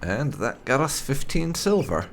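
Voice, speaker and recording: A man's voice: medium pitch, very animated, measured pace, slight background noise. A man giving casual, rambling let's play commentary as a gaming YouTuber.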